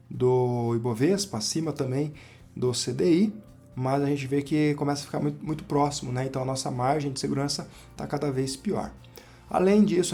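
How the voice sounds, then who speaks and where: A man with a Brazilian accent, hoarse from a cold, giving relaxed, chatty commentary on his investment portfolio while sharing his screen. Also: on the high side. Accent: Brazilian Portuguese. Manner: relaxed, chatty, frequent "né" and "pessoal". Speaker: finance channel host.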